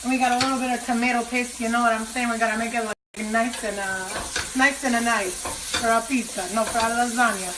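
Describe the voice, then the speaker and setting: A woman's voice: a little high, steady tone, fast. Chatty woman cooking over a sizzling pan. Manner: chatty and joking, talks straight to viewers. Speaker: cooking show host. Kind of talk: casual cooking demonstration. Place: kitchen by the stove. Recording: frying sizzle noise in a kitchen.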